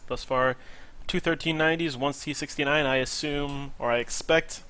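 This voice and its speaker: A man's voice: on the high side, fairly even intonation, moderate pace, fairly bright tone, smooth, poor audio. Nasal man casting a live match. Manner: casual, thinks aloud, predicts picks. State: nasal from a cold. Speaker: esports caster. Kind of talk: live play-by-play commentary.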